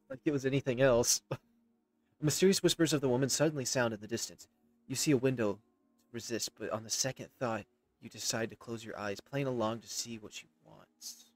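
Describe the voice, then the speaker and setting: A man's voice: mid-range voice, no room sound. A man in his thirties giving casual, rambling commentary as he plays a game, reacting and reading text aloud. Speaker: gameplay streamer. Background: game music.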